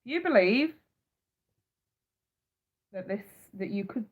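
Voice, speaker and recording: A woman's voice: medium pitch, expressive, slow, highs cut off. A woman with a stuffy nose from a cold, giving a blunt, fired-up live tarot reading and swearing freely. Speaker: tarot reader.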